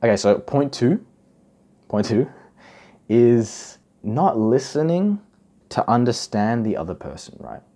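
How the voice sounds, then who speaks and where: A man's voice: mid-range voice, sing-song, takes it slowly, long pauses, dark tone. A young man from Indonesia giving casual advice to camera, chatty and full of "like" and "right?". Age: young adult. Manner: chatty, many fillers like "like" and "right?". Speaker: self-improvement YouTuber.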